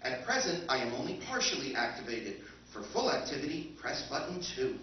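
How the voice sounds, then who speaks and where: An actor playing an earnest talking robot lifeboat in a radio drama, making formal, measured announcements. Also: male voice, medium pitch, very animated, close to an everyday voice. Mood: solemn, reassuring. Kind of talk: stage recreation of old-time radio drama. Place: convention stage. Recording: dull, echoey stage recording, distant, noisy.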